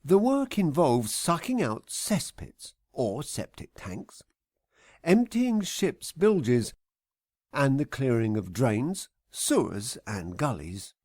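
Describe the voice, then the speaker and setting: A man's voice: medium pitch, a little mellow, no echo, clean studio sound. A man narrating his own comic audiobook in a theatrical, mock-poetic, wry way. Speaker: author reading his own comedy book.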